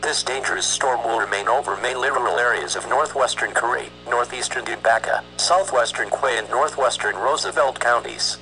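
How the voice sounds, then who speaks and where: A man's voice: mid-range voice, normal speed, few pauses, sharp tone. A man reading a scripted emergency warning in a formal, clipped announcer's manner. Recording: noisy, humming weather radio sound.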